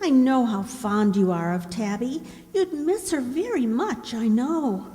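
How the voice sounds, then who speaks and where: A woman playing a gentle, kind young lady of the house in a children's radio play. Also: a little high, lively intonation, measured pace. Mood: tender, concerned. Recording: reverberant hall recording.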